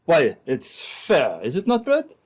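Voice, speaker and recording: Casting: male actor as sly gold thief for old-time radio, formal and smooth, very varied pitch. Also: wildly swooping pitch, full character voice. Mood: smoothly bargaining. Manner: smooth, formal, deliberate phrasing. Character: smooth-talking, scheming Frenchman, a gold-robbery accomplice.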